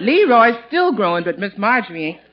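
A woman's voice: medium pitch, sing-song, a little fast, over a noisy crowd, lightly acted. A woman playing a deferential but frank, good-natured housekeeper with a folksy manner in 1940s radio comedy. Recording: noisy, muffled old radio recording.

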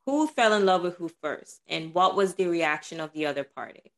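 Low-voiced woman co-hosting a podcast. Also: expressive, normal speed. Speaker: podcast co-host. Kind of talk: casual podcast chat.

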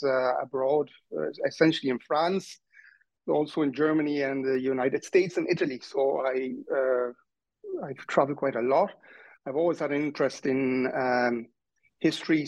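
A man from Ireland in his fifties talks about his book as an interview guest. He speaks haltingly, full of ums and restarts, in a somewhat high voice. The recording sounds dull and lo-fi with a very dry, close sound. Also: a little mellow, dry recording. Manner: hesitant, many fillers and restarts. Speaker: author guest. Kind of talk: podcast interview. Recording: dull, dry remote audio.

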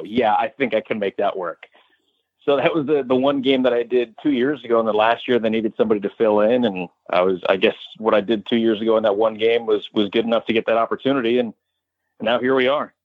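Low-pitched American sportscaster, dry close sound. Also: male voice, steady tone, fast talker, no echo, narrow, tinny audio. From Westchester County, New York, United States. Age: 30s. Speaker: guest, sports radio play-by-play announcer. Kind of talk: conversational interview answers.

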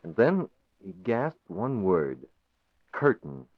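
A deep-voiced young publisher. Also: male voice, very animated, slow pace, dry recording, exaggerated voice. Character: young male publisher, cool and shrewd. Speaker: newspaper publisher. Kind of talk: 1940s radio acting. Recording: thin, dry, phone-like old radio recording.

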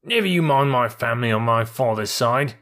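A man's voice: medium pitch, expressive, measured pace, fairly bright tone, pitched above the performer's own voice. A man voicing a proud, stubborn, quarrelsome male army mule in a storybook reading, indignant and blustering. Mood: angry.

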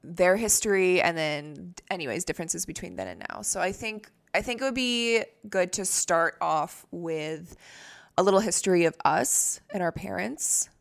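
A woman's voice: lowish voice, normal speed, vocal fry. A Canadian woman in her thirties, co-hosting a podcast in a casual, rambling chat full of "like" fillers. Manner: chatty, rambling, frequent "like" fillers. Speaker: podcast co-host.

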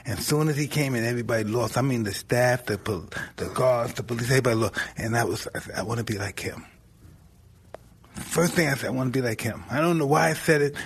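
A man hosting a casual interview, telling a story in an emphatic way, with a husky voice. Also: medium pitch, fast talker, slight background noise. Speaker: podcast host.

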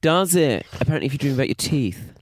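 A man's voice: mid-range voice, lively intonation, fast, no echo, clean studio sound. A young man co-hosting a podcast, telling stories in casual chat, with camp, chatty put-on quotes. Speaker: podcast co-host.

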